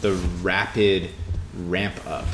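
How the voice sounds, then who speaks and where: A man in his mid-twenties in a casual, reflective podcast conversation, rambling and informal as he thinks out loud. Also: deep voice, little pitch movement, very slow, over music. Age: mid 20s. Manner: rambling, informal with mild swearing. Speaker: podcast co-host. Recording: roomy echo with faint background noise.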